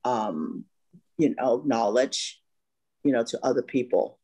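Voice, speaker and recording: A woman's voice: very deep voice, slow pace, warm tone, husky. A woman in her fifties, born in Vietnam, talking as an interview guest over a video call, with many "you know" fillers. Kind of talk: casual interview chat. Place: home room by a bright window.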